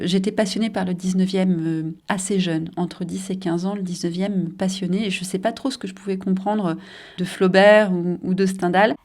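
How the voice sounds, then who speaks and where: A woman's voice: fairly low pitch, a little mellow. A woman novelist in her forties from the Paris suburbs, speaking in an interview, thoughtful and hesitant with frequent fillers. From Paris suburbs, France. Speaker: novelist and journalist.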